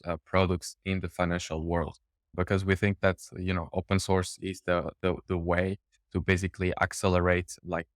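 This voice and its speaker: A man in his thirties from Portugal, a startup cofounder answering interview questions in a relaxed, halting way with lots of fillers. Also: sits low, no echo, studio quality.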